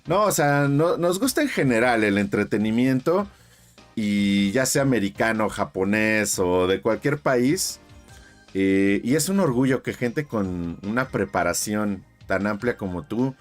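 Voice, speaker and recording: A man's voice: on the low side, expressive, unhurried, faint music behind. A man from Mexico, hosting his channel's interview in a chatty, effusive way with lots of fillers. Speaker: YouTube channel host.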